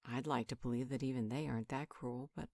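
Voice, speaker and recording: Lady doing a motherly healer, lowish voice, soothing and affectionate, dry close sound, roleplay audio. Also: steady tone, fast, mellow, no echo. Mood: thoughtful, uncertain. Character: nurturing, protective female magical healer and mother figure.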